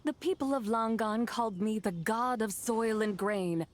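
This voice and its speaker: A woman's voice: a little high, moderate pace, close to an everyday voice. A woman voicing a proud ancient immortal in a video game, speaking formally and grandly. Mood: haughty. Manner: formal, archaic, pompous declarations. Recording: game dialogue over background music.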